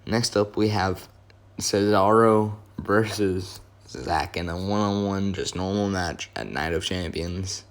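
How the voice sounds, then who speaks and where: A hoarse young man, his voice worn from yelling, rambling casually through a wrestling talk with many fillers and self-corrections. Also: deep voice, slow pace. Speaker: wrestling video host. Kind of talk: casual fantasy-booking commentary.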